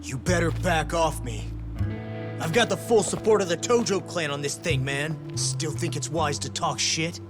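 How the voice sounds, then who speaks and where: A man's voice: on the high side, normal speed, music in the background, lightly acted. A man playing a brash young swindler in a video game, slangy, defensive and swaggering. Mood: defensive, hostile. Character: brash young college punk, hot-headed male gambler and swindler. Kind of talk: dramatic game cutscene dialogue, English dub.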